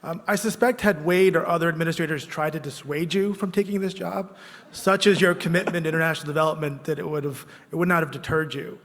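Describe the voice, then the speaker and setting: Polite man with a slightly high voice. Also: steady tone, a bit bright. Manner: polite, formal, measured. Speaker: audience member asking a question. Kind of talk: prepared question from the floor. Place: large conference hall. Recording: long hall reverb.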